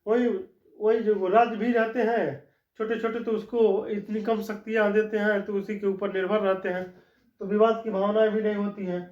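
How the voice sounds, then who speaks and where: A man's voice: high voice, flat delivery, moderate pace, a little mellow. A man teaching a law lesson in a classroom style, explaining step by step and repeating his key points. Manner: explanatory, repeats key points, frequent "ठीक" check-ins. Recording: echoey room, dull lo-fi sound.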